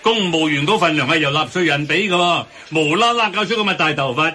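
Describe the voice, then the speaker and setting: A man's voice: on the high side, fast, pushed and bright. A man with a Hong Kong accent playing an indignant, complaining businessman in a radio drama. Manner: exclamatory, complaining. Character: indignant, grumbling businessman railing at official waste. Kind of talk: Cantonese radio drama banter.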